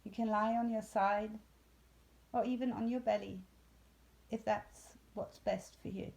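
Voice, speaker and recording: A woman leading a guided yoga nidra meditation in a soothing, unhurried way with long pauses. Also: medium pitch, steady tone, vocal fry, a little mellow.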